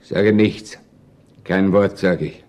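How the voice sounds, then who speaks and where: An actor playing a sullen, obstinate employee under suspicion, answering tersely in a radio crime play. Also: male voice, sits low, takes it slowly, darkish tone.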